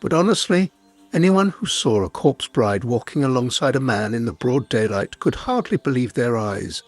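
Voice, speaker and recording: A man's voice: medium pitch, lively intonation, moderate pace, no room sound. A man telling a scripted folk tale as a storyteller. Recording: music bed behind the voice. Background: music.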